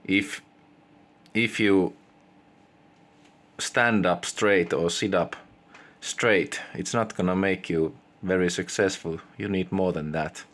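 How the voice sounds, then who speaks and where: A man with a Finnish accent giving casual, unscripted opinions in halting English, pausing mid-sentence. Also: sits low, a bit bright. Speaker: video creator giving opinions.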